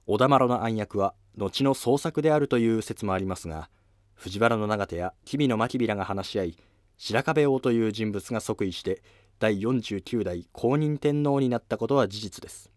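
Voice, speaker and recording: A man's voice: lowish voice, moderate pace, darkish tone, dry recording, studio quality. A man reading scripted narration for a history drama as its narrator.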